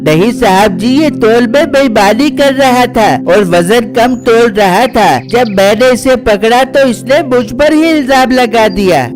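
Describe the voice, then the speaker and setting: A man acts an aggrieved male worker in a cartoon, indignant and full of complaint. The pitch is high, with clear music behind. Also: unhurried, hardly any pauses. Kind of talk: children's cartoon dialogue. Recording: music under the voice.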